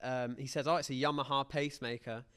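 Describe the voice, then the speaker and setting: A man's voice: mid-range voice, fairly even intonation, fast, fairly bright tone, clear, resonant tone. A man talks casually as a podcast host about film. He is opinionated and likes to act out imagined reactions as he makes his points.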